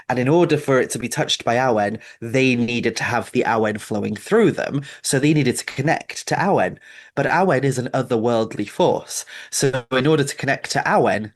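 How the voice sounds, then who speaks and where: Welsh-accented speaker, male-sounding, emphatic storyteller. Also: mid-range voice. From Wales, Isle of Anglesey. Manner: animated storyteller, emphatic, repeats phrases for stress. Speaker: podcast guest, folklore teacher and author. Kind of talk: explanatory conversational talk on folklore.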